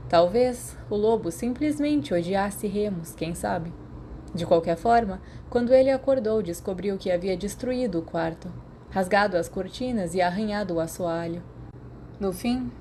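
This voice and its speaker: A woman's voice: medium pitch, warm tone, a little hiss or hum. A Brazilian woman reading a story aloud as a scripted audiobook narration. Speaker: podfic narrator.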